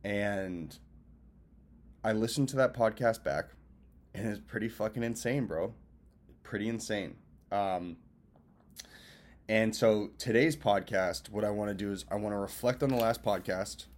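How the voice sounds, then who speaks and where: Laid-back, low-voiced man in his twenties. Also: measured pace, pauses often. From Massachusetts, US. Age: 20s. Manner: loose, profane, talks to viewers as friends. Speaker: filmmaker and event host vlogging. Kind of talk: casual rambling birthday check-in. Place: at a hotel.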